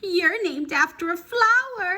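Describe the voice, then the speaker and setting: A woman's voice: extremely high pitch, expressive, unhurried, pushed and bright, voice pushed up, full character voice. A woman voicing a smug, mocking little schoolgirl mouse in a storybook.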